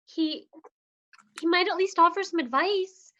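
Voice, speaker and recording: A woman playing dry, deadpan Dottie. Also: very high-pitched, unhurried, highs cut off. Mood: hopeful. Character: Dottie, a dry, weary young woman patient. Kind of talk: livestream play script reading.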